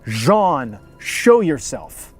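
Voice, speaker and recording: A man voicing a stern, calm woman exorcist in a told ghost story, commanding and deliberate. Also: medium pitch, slightly rough. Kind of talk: acted quotes in ghost-story narration. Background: background music.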